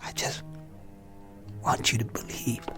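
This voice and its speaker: An actor playing a tormented, bitter young army lieutenant in an intense radio drama style. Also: male voice, slow pace, natural voice. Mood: pleading, intense. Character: tormented young army lieutenant who sees death on faces. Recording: radio drama with music underneath.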